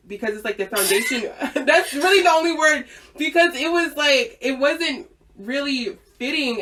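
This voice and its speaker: A woman's voice: medium pitch, a bit bright. Female host, casual, giggly, lots of ums, mic set back from her. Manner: giggly, many fillers and restarts. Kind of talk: casual chat. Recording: distant mic.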